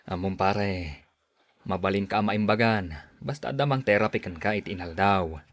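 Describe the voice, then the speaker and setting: Actor as a low-voiced, reassuring male buddy. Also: moderate pace, natural voice. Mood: encouraging. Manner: reassuring, advising. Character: encouraging, friendly male office buddy of the patient. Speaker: radio drama actor. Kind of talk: Filipino radio drama acting.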